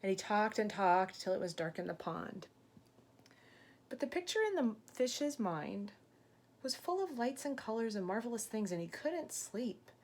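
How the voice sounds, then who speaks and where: A woman teacher reading a picture book aloud expressively, pausing to ask young listeners questions and explain things. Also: mid-range voice, very animated, a little mellow.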